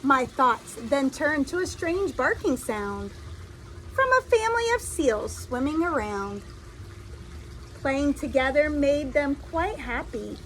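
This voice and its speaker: A woman reading a children's story aloud in an expressive, deliberate storytelling style, like a teacher addressing young listeners. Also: high voice, a little hiss or hum, poor audio.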